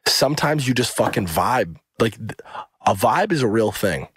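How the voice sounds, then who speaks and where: A man's voice: on the low side, expressive, normal speed, a bit bright, slightly rough, dry recording, studio quality. A young man co-hosting a casual podcast, rambling and full of filler words and swearing. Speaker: podcast co-host.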